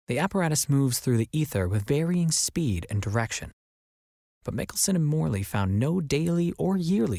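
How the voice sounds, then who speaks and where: A man reading as an audiobook narrator, in an expressive scripted storytelling style. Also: fairly low pitch, no room sound, clean studio sound.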